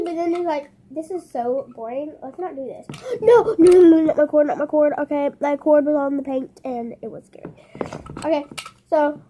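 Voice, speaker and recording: A young girl with a high voice chats her way through a craft vlog, rambling and talking straight to her viewers. She sits on a carpeted bedroom floor with the camera low beside her, and a faint hiss sits under the recording. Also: dark tone. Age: child. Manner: chatty, rambling, addresses viewers directly. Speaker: channel host. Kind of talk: casual kids' craft vlog. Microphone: camera on the floor nearby.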